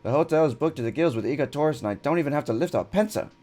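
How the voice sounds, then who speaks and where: A man's voice: fairly high pitch, animated, dark tone, faint music behind, pitched above the performer's own voice. A man voicing a pompous, self-satisfied male crab landlord in a video game, drawing out his words. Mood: smug. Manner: drawn-out, self-important delivery.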